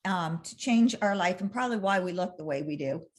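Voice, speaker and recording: A woman's voice: fairly low pitch, expressive, a little fast. A woman in her sixties, a guest on a talk show, telling her story in an earnest, chatty way. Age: 60s. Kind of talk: casual interview chat.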